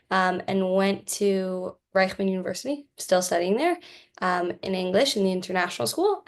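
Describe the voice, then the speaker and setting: A woman's voice: mid-range voice, creaky. A woman in her twenties from Colorado, introducing herself as a university student in a casual way with frequent ums, over a video call. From Denver, Colorado, US. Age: 20s. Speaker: student panelist.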